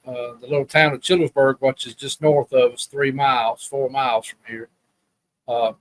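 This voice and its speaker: A man's voice: mid-range voice, no room sound, low-quality recording. A man from Alabama with a Southern accent, talking as a guest in a casual, rambling, plain-spoken way. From Alabama, USA. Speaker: podcast guest. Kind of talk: casual reminiscing chat.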